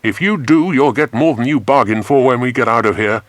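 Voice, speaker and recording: A man's voice: mid-range voice, brisk, dry recording, voice pushed up, lightly acted. A man voicing a brave, hearty grown man who leads children on an adventure in a storybook, speaking emphatically. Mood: threatening.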